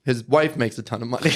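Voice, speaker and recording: A man co-hosting a podcast, talking casually in halting stops and restarts and chuckling as he goes. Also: medium pitch, breathy edge. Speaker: podcast co-host. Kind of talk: casual chat.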